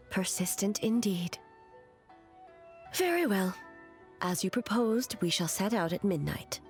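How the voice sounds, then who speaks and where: A woman's voice: medium pitch, expressive. Stately, composed immortal queen. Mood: wry, conceding. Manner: formal, measured, stately, aloof. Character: composed, regal immortal female ruler in an artificial body. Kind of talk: scripted video game voice acting. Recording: music behind the voice.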